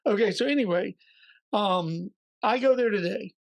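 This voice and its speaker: A man co-hosting a podcast, slightly hoarse and raspy, telling a story in a chatty way with joking asides. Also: sits high, moderate pace, no echo, poor audio. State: a little hoarse. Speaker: podcast co-host. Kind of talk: casual podcast chat.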